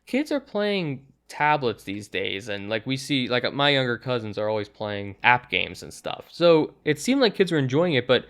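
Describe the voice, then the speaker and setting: A man's voice: mid-range voice, very animated, moderate pace, few pauses, a bit bright. A young man giving a casual, conversational movie review, talking in loose, chatty asides. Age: young adult.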